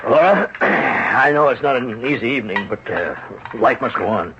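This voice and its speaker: A man's voice: medium pitch, moderate pace, close to an everyday voice. An actor playing a genteel, flustered middle-aged father, hesitant and fussy, in an old radio drama. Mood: awkward, regretful. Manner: hesitant, fussy, full of hems and haws. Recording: dull, faintly noisy old radio recording.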